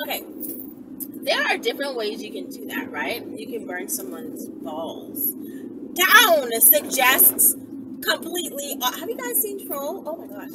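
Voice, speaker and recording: A woman chatting casually to her viewers in an animated, slangy, rambling way. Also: high-pitched. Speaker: video channel host. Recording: distant mic, loud vehicle-like background noise.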